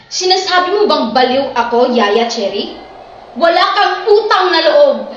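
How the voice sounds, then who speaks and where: A woman in an amateur radio drama playing an unstable wife who furiously accuses her old nanny in shrill, theatrical shouts. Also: expressive, unhurried, dull audio. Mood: furious, accusing. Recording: very echoey room with faint noise.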